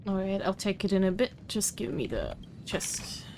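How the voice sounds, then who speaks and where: A woman casually commentating as she streams a game, talking to herself in a relaxed way with mild swearing. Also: mid-range voice, moderate pace, a little hiss or hum. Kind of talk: casual live gameplay commentary. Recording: game music loud behind voice. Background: video game music.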